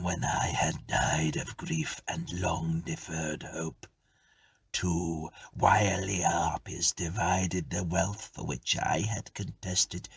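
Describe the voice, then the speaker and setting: A mournful, stern male ghost played by a storyteller speaks very low and half-whispered. The words are drawn out slowly, with a heavily breathy, husky edge, as in an old ghost story. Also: fairly bright tone, dry recording, exaggerated voice. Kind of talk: dramatic ghost story reading.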